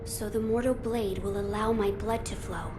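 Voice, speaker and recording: A woman's voice: medium pitch, steady tone, normal speed, music in the background, lightly acted. A woman playing a solemn, noble young boy lord in a video game, speaking formally and with composure. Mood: composed, earnest. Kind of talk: video game cutscene acting.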